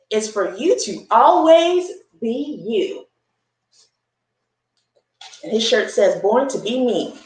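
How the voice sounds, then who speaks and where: A woman hosting a children's story time, reading a picture book aloud with expressive, encouraging asides and simple explanations. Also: high-pitched, echoey room, rough recording.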